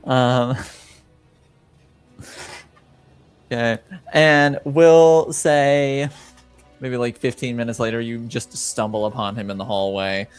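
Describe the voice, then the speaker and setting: A man with a smooth, clear voice narrates a tabletop roleplaying game as its game master. He takes his time, feeding out the story in halting pieces over background music. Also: medium pitch, steady tone.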